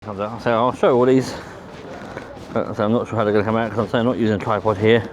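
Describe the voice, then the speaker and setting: London bloke chatting casually on a walk outside, bit hesitant and rambly, city noise all round him. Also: male voice, fairly low pitch, fairly even intonation, fast talker. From London, England. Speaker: amateur photographer, vlogger. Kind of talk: casual walking commentary. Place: outdoors on a riverside walkway. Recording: outdoor city noise behind voice.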